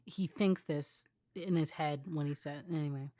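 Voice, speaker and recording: A young woman with a fairly low voice reads a story in a casual, wry way. The sound is close and dry, with the informal feel of a co-host sharing fan fiction. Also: fairly even intonation, moderate pace, dark tone, no room sound. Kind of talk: informal story narration with banter.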